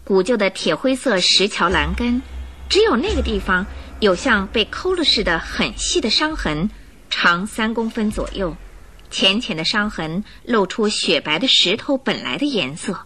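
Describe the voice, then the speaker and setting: Female radio drama narrator: bright forward tone, medium pitch, animated storytelling delivery. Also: moderate pace, a little hiss or hum.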